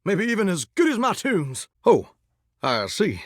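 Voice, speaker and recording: An actor voicing a lovesick talking shower in a game, with a drawl and a folksy, earnest way of talking. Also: male voice, high voice, wildly swooping pitch, unhurried, no room sound, studio quality, heavily acted. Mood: wheedling. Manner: folksy, earnest and sweetly corny. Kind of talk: video game character dialogue.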